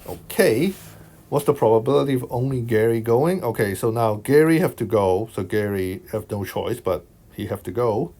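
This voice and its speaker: A man from Hong Kong with a Hong Kong accent, talking through a maths exam solution as a tutor, unhurried and thinking aloud, checking in with his listeners. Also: mid-range voice, animated, dark tone. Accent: Hong Kong Cantonese. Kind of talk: explaining a worked exam solution over screen recording. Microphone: voice-over on screen recording.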